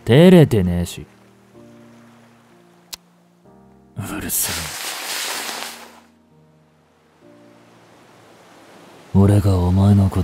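A man playing a young delinquent in a voice drama, listless and blunt, with rough slangy talk and a caring streak. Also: on the low side, warm tone. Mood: grumpy, tender. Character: gruff, languid young male delinquent, secretly caring. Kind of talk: Japanese voice drama for female listeners.

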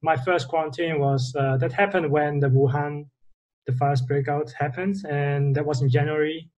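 A man's voice: on the high side, steady tone, moderate pace, darkish tone, poor audio. A young man from the Netherlands, a guest on a video call from a bedroom, talking hesitantly and searching for words. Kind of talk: casual interview. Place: bedroom, indoors.

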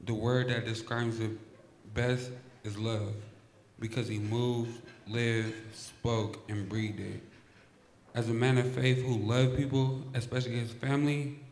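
A man reading a prepared tribute at a memorial in a large banquet hall, in a steady, measured way. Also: medium pitch, a little hiss or hum. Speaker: eulogy reader. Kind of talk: read tribute. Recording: echoey banquet hall with long reverb.

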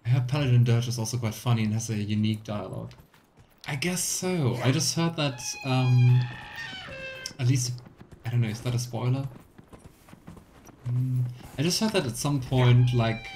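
A man's voice: mid-range voice, background noise. A man in his thirties giving casual, chatty let's play commentary with a wry, mock-complaining manner. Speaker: game streamer. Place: small room indoors. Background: game music.

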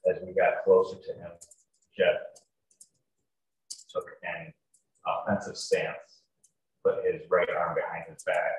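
A young man testifying as a police officer in court, formal, terse and matter-of-fact in procedural phrasing. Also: sits low. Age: young adult. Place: courtroom, heard over a video call. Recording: distant, echoey, faintly noisy courtroom video call.

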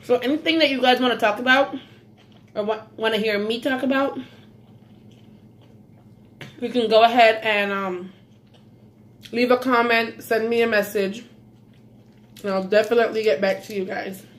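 A woman's voice: on the high side, fairly even intonation, moderate pace, pauses often. A woman in her thirties from Belize with a slight Belizean accent, chatting casually in an eating vlog at home, savoring food with frequent mm's. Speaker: mukbang vlogger. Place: small indoor room at home.

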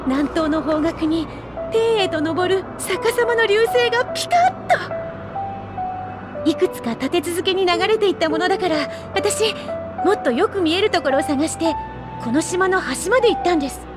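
A bubbly young female researcher in an anime-style video game, with an extremely high voice. She is chatty, earnest and eager to please, speaking politely and expressively. Loud music runs underneath. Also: lively intonation, moderate pace. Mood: excited storytelling. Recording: studio voice over loud music.

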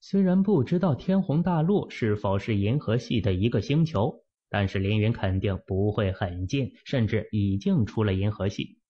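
A man narrating a fantasy novel as an audiobook, in a steady, measured storytelling manner, in a muffled lo-fi recording. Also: on the low side, slightly rough.

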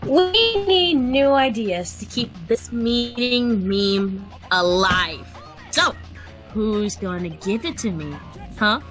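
A woman's voice: a little high, animated, takes it slowly, a bit bright, faint music behind. A woman voicing an intense, indignant crewmate leader in a dramatic amateur comic dub. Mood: brooding, intense.

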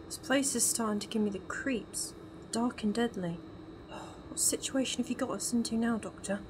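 A woman's voice: a little high, normal speed, background noise, close to an everyday voice. A woman playing an uneasy, questioning companion to a time-traveller in a sci-fi audio drama. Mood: worried.

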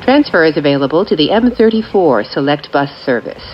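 A woman delivers formulaic transit announcements in separate, measured phrases. Her voice is low but moves with a lively lilt. It is captured inside a train, slightly dulled, with some vehicle noise around it. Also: muffled recording. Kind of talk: scripted automated train announcements. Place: recorded off speakers inside a subway train. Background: train ambience.

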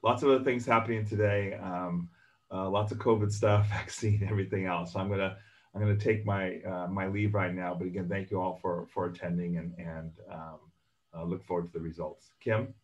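Hoarse man, low voice, halting with fillers, muffled video call audio. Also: fairly even intonation. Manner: halting, many fillers and restarts. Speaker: government official giving welcome. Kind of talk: unscripted welcome remarks.

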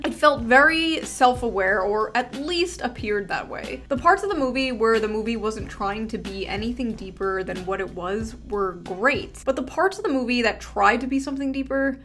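A woman's voice: fairly high pitch, animated, moderate pace, few pauses, a bit bright, soft background music. A young woman giving a sarcastic video essay commentary, ironic and crude with bursts of mock outrage, close to a studio mic. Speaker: online commentator. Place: small indoor room with tinsel backdrop. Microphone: large studio mic close in front.

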